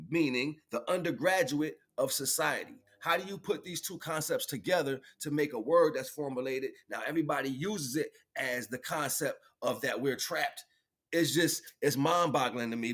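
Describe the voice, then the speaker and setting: A man's voice: medium pitch, fairly bright tone. A man giving an improvised spiritual, motivational livestream talk in an emphatic, preachy way, repeating phrases for effect.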